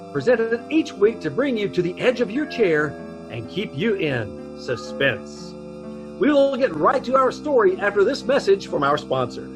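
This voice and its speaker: A formal 1940s radio network announcer, played by a man, delivers dramatic lines with a very lively pitch. Music plays clearly behind the voice. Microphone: video call.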